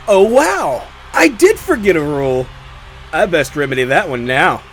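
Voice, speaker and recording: A man playing an excitable, theatrical and scatterbrained tournament announcer in an animated drama. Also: sits high, slow pace, exaggerated voice. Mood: flustered, then official. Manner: theatrical, showy.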